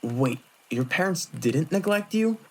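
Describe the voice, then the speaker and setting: Woman acting a young male monk, low pitch for a woman, courteous, measured, earnest, anime audio drama. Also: animated, slight background noise. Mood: correcting.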